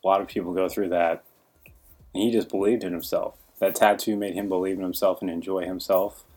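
A man hosts a casual podcast, joking with his co-host and prompting him for stories. His voice is very deep and a bit husky. Faint music plays underneath. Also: fairly even intonation, a little fast, darkish tone. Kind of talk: upbeat casual podcast hosting.

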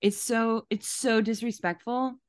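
A woman's voice: on the high side, steady tone, unhurried, no room sound. A woman hosting a podcast, giving casual, conversational commentary. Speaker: podcast host.

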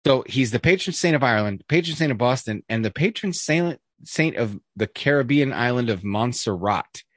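A man's voice: medium pitch, a bit bright, no room sound, clean studio sound. A man co-hosting a casual podcast chat, reading a list aloud with jokey asides, fillers and restarts. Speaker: podcast co-host.

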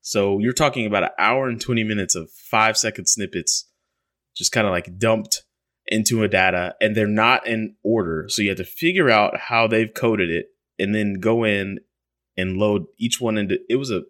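Low-pitched man, casual podcast host, rambling and loose. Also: moderate pace, a bit bright. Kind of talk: casual chat.

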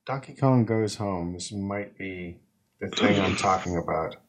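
A man in his forties with a very low, husky voice rambles through nostalgic memories. His talk is loose and casual, full of hesitations. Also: measured pace. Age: 40s. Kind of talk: casual chat.

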